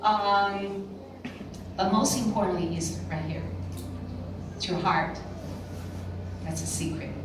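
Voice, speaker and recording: A woman's voice: mid-range voice, fairly even intonation, takes it slowly, pauses often. A woman in her sixties with a Taiwanese accent gives a motivational talk to a live audience, conversational and often checking in with questions. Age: 60s. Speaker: motivational speaker at a direct-sales event. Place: indoor meeting room with a live audience. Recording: echoey room, distant mic, clear noise.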